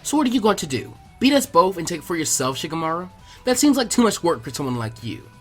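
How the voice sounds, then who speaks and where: A man's voice: on the high side, sing-song, quick pace. Man doing a feisty, dramatic ninja girl, music behind. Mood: determined. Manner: emphatic, dramatic. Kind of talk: animated fan fiction character reading.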